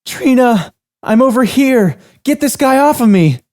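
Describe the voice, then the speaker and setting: A man voicing a panicked young waitress who is trapped on a restaurant floor and shouting in a high voice. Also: animated, a little fast, natural voice. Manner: frantic high-pitched shouting.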